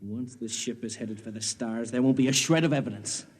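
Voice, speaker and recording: An actor playing a smug, cold, scheming villain in a 1950s radio drama. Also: male voice, mid-range voice, brisk, darkish tone, slightly rough, lightly acted. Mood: smug menace. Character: smug, scheming male villain holding a gun. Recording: reverberant 1950s radio recording.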